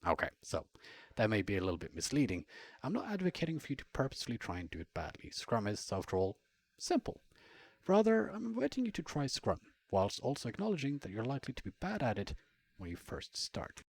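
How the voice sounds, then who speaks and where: A man with a husky voice reads a scripted instructional piece in a measured, explanatory way, with conversational asides. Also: mid-range voice, very animated. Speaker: blogger and Scrum trainer reading own blog post.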